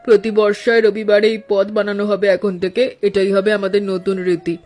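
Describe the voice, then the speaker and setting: A woman's voice: medium pitch, level tone, a little mellow, soft background music, close to an everyday voice. A woman playing a wise, sentimental elderly mother-in-law in a cartoon story, speaking in a reflective way. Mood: approving, solemn. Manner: slow, reflective, proverb-giving.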